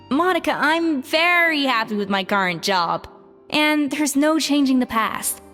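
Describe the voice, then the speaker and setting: An actress playing a proud, composed female former head chef in a video game, formal and measured. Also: high-pitched, lively intonation, fairly bright tone, in a large hall, soft background music, heavily acted. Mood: composed, resolute.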